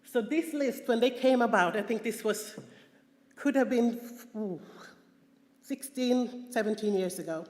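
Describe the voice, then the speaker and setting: A woman's voice: a little high, darkish tone. Swedish-accented woman in an echoey hall. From Sweden. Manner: earnest, halting, frequent restarts and fillers. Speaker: conference speaker. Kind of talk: personal testimony talk with slides. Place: large conference hall. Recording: echoey hall, long reverb.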